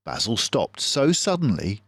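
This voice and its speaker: An older man reading a children's storybook aloud as narrator, in a measured, deliberate manner. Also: on the low side, wildly swooping pitch, slightly rough, dry recording.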